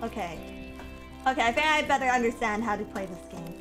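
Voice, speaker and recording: A woman streaming a game in casual chat, a bit stuffy from a cold, going from low mumbles to loud excited yelling. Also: a little fast. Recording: game music behind.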